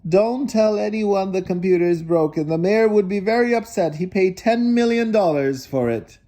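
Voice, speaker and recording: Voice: medium pitch, expressive, moderate pace, mellow, pitched above the performer's own voice, lightly acted. A storybook reader voicing a little old man, overworked and hungry, in a plaintive, exclaiming way. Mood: urgent.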